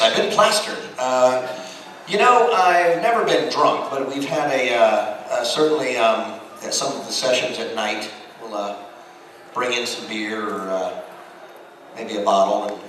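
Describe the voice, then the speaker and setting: An American man speaking as a voice actor on a convention panel, telling anecdotes informally and acting out bits of dialogue. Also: mid-range voice. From United States. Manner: animated storyteller, acts out dialogue. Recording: very echoey hall, distant mic, noisy.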